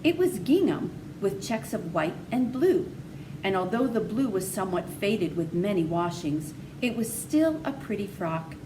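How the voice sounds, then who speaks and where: A woman's voice: medium pitch, sing-song, a little slow. A woman narrating a children's story, reading aloud from a book. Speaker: storybook reader. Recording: noisy, distant microphone pickup.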